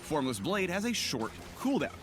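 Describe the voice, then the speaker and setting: A man's voice: a little high, big pitch swings, normal speed. A man reading a scripted video game explainer as narrator, in a polished and playful manner. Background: music.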